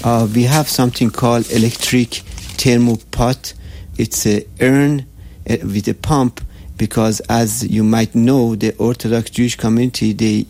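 An older man from Iran with an Iranian accent, a shop owner telling his story in a halting, earnest way, often searching for words. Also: mid-range voice, vocal fry, a little mellow. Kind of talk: interview storytelling.

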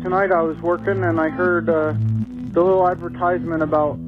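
A man from Pennsylvania calls in with a casual, slightly hesitant message in a high voice. The line is tinny and phone-like, and music plays behind him. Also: little pitch movement, a little fast. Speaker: caller.

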